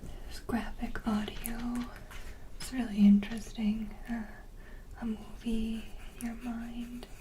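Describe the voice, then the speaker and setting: A woman doing ASMR, reading and commenting in a soft, breathy whisper. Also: fairly high pitch, level tone, slow pace, slight background noise. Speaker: ASMR video creator.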